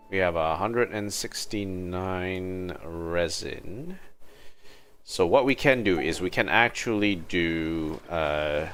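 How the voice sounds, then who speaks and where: A man livestreaming a game with casual commentary, thinking aloud and muttering to himself, sometimes reading on-screen text. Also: deep voice. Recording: game music behind the voice. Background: soft video game music.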